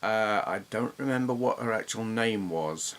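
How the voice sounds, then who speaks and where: A man's voice: lowish voice, normal speed, a bit bright. A middle-aged man with an English accent, reminiscing casually in an informal, rambling, self-deprecating way. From England. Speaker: host, gamer.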